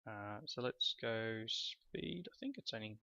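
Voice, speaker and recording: A man's voice: fairly low pitch, lively intonation, a little slow, sharp tone, smooth, no room sound. A man coming down with a cold, chatting his way through a video game in rambling, self-correcting commentary. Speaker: let's play host. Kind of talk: casual gameplay commentary. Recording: slightly dull lo-fi recording. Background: faint background music.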